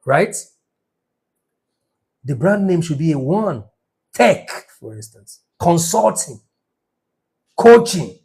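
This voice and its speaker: A man's voice: on the high side, sing-song, slow pace, creaky, long pauses, a little mellow, husky. A man giving a numerology lesson, speaking emphatically and checking in with his listeners as he goes. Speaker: numerology teacher. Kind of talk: teaching talk.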